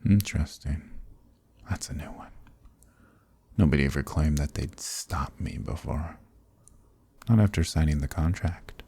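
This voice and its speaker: A man voicing an ancient archdemon who is smug, amused and condescending, in a breathy, murmured dark fantasy ASMR roleplay with teasing, leisurely politeness. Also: rumbling low, unhurried, pauses often, exaggerated voice. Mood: amused, menacing.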